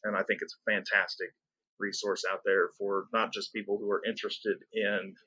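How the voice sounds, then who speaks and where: An upbeat, chatty American man hosting a podcast, quick to laugh. His voice sits a little low. It's a dry, close recording with no room sound. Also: flat delivery, bright, forceful tone, husky, no room sound, lo-fi sound. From United States. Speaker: podcast host. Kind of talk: casual podcast interview.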